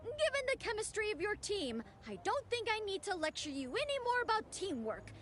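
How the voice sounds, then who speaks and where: A woman's voice: extremely high pitch, big pitch swings, brisk, fairly bright tone, heavily acted. A woman voicing a grandiose, theatrical examiner of a knightly order in a video game, pompously proclaiming with solemn eagerness. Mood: grand, commanding.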